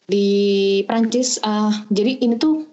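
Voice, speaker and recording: A woman's voice: on the high side, steady tone, takes it slowly, dark tone, highs cut off. A young woman from Bandung, a podcast guest, chatting casually and explaining things step by step with many hesitations over a remote call. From Bandung, Indonesia. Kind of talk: casual chat.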